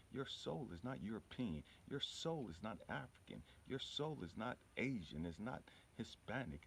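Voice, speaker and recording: A man's voice: fairly low pitch, slight background noise. A man giving an informal motivational spiritual talk in an emphatic, repetitive, colloquial way, often checking in with the listener. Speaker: spiritual healer and channel host.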